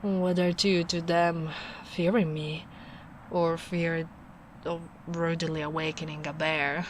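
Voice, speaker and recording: A big, kindly ogre woman acted by a female performer speaks softly and very slowly in an ASMR roleplay. Her voice sits a little low, and she sounds caring and plain-spoken as she muses. A light bed of ambient noise runs behind her. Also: level tone. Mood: curious, wary. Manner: unhurried, musing, soft-spoken.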